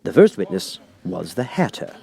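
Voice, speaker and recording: A man narrates a children's storybook expressively. His voice sits in a medium register but rises and falls dramatically, giving the reading a very animated shape. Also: medium pitch, normal speed, vocal fry. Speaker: audiobook reader.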